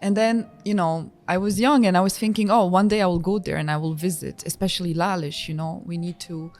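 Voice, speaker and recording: A woman who was born in Georgia and raised in France, speaking as a podcast guest in a thoughtful, measured way with frequent fillers. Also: lowish voice, steady tone, darkish tone, faint music behind. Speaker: guest, executive director of a nonprofit. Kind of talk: podcast interview.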